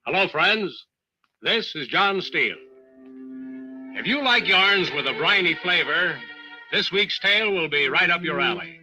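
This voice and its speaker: An actor playing a genial seafaring adventurer introducing radio tales with an American accent, in old-time host style. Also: male voice, high-pitched, lively intonation, unhurried, pushed and bright, full character voice. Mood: genial, earnest. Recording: muffled old radio broadcast with faint music.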